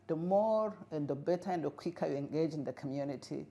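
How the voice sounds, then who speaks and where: A middle-aged woman from Rwanda, whose first language is Kinyarwanda, speaking earnestly as an interview guest with frequent pauses and fillers. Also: sits low, mellow. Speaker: guest, refugee integration expert. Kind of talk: interview conversation.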